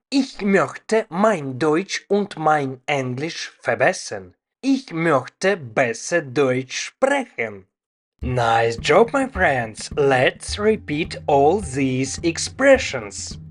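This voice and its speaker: A language teacher reading a scripted drill, saying each short phrase slowly and clearly and repeating it for learners. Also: medium pitch. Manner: slow, deliberate, clearly spaced phrases for learners.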